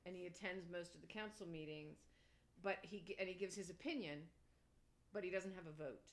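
A woman's voice: lowish voice, brisk. An older woman from Pennsylvania, a township supervisor, chatting casually in an interview and explaining local government plainly.

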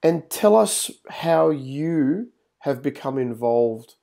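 A man's voice: a little high, expressive, slow, vocal fry, warm tone. A man hosting an interview, asking long rambling questions with many fillers. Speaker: host. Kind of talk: interview host.

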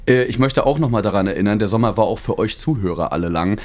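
A man's voice: lowish voice, fast. A man in his mid-thirties, a teacher co-hosting a podcast, chatting casually with slang and jokes. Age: 30s. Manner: slangy, excitable, joking. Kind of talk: casual chat.

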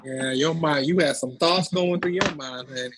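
A man's voice: fairly high pitch, normal speed, background noise. A man being interviewed as a cast member, chatting in a casual, joking way.

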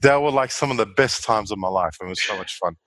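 A man's voice: lowish voice, fast talker, a bit bright, no room sound. A man in his forties, a guest chatting easily about his life and laughing now and then. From Australia. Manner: easygoing, chatty, laughs often. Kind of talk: casual interview chat.

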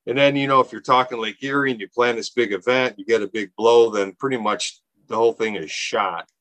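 A man's voice: mid-range voice, steady tone, a little fast, a bit bright, dry recording. A man in his fifties, an organization founder, talking earnestly in a casual interview, often restarting his sentences. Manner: earnest, rambling, many restarts and fillers. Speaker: nonprofit founder, podcast guest.

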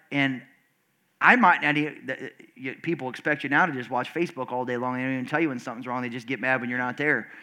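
Pastor guy preaching at church, kinda screechy and loud, highish voice, up and down a lot, stumbles and restarts. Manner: animated, swinging from loud and high to low and quiet, many restarts. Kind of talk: sermon with call and response. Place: church service before a congregation.

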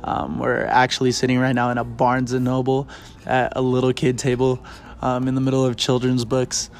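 A young man hosting his first podcast in casual chat, earnest and halting, with frequent ums and restarts, recorded in a bookstore. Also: medium pitch. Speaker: podcast host. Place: bookstore children's section.

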